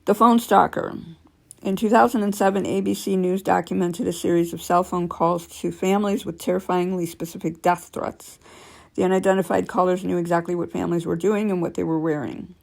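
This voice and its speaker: Woman with a low, scratchy voice. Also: fairly even intonation, fairly quick, creaky, darkish tone. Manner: stumbles and corrects herself while reading. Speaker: storyteller reading true creepy stories. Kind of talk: reading aloud with casual asides.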